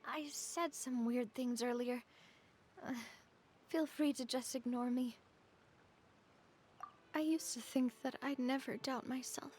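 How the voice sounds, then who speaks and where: An actress playing a tired, vulnerable young woman in a video game, speaking softly and earnestly. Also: high-pitched, fairly even intonation, slight background noise. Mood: tender. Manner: soft, earnest, slow. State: worn out.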